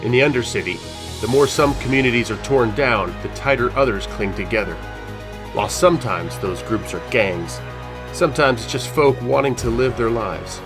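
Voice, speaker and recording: A man giving scripted narration for an audio drama intro in a measured, grave way. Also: mid-range voice. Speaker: series narrator. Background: music.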